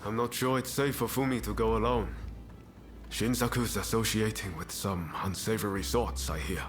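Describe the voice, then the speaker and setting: An actor playing an earnest, take-charge rebel comrade in samurai-era Japan for a video game, speaking in a brisk, friendly way. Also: male voice, fairly low pitch, fairly even intonation, background noise, natural voice. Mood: serious, urgent. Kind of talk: dramatic video game voice acting.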